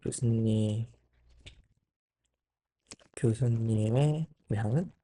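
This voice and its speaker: A man's voice: mid-range voice, extremely slow, dark tone, slight background noise. A young man talking to himself in a rambling, thinking-aloud way while he studies, often breaking off and restarting. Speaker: medical student. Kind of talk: casual study vlog monologue.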